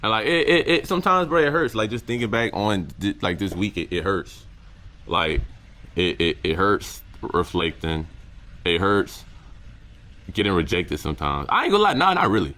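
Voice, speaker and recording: A young man with a deep voice, talking casually to camera in a reflective vlog, full of slang and repeated words. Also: sing-song, moderate pace, sharp tone. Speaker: content creator.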